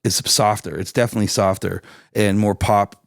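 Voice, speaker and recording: A raspy-voiced man from Canada, chatting loosely as an interview guest with lots of restarts and fillers. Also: fairly low pitch, steady tone, brisk, dry recording, studio quality. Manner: rambling, many restarts and fillers. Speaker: singer-songwriter guest. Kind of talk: casual interview chat.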